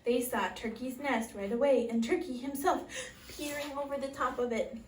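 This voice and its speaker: A woman's voice: high-pitched, steady tone, normal speed. A woman teaching preschool English, reading a storybook aloud expressively and prompting children to repeat. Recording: echoey room, distant mic, faint noise.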